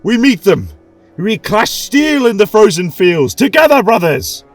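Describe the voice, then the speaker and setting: A man voicing a bold warrior king, calling out in a loud, rallying, proclamatory voice. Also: high voice, big pitch swings, unhurried, no room sound, soft background music, voice pushed up. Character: bold young male warrior king, rousing and commanding. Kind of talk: audio drama reading.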